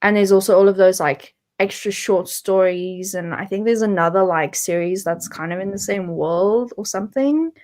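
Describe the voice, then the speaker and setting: A woman talks casually as co-host of a readalong show. Her pitch sits in the middle of the female range, and she speaks informally, often thinking aloud as she feels her way through a point. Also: moderate pace, hardly any pauses, darkish tone. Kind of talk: casual book chat.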